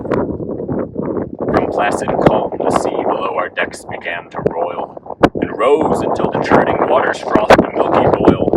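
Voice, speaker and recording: A man with a gravelly voice reciting a rhymed sea ballad in a theatrical, measured storytelling manner. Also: low voice, far from the mic, very noisy.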